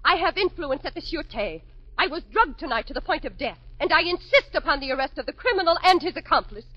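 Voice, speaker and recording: An actress playing a haughty, vengeful opera prima donna in a theatrical 1940s radio drama style. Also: female voice, high-pitched, expressive, fast, highs cut off. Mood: indignant, accusing.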